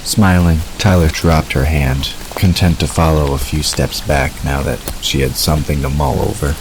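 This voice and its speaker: Really deep male narrator reading a story, noisy hissy background. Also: steady tone, moderate pace. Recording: noisy, rain-like hiss behind.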